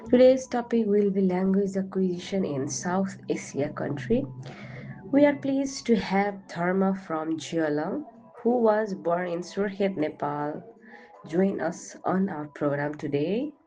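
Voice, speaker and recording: A woman hosting a podcast interview, delivering her prepared lines slowly and deliberately. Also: medium pitch, lively intonation, mellow, rough recording. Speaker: podcast host. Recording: faint background music.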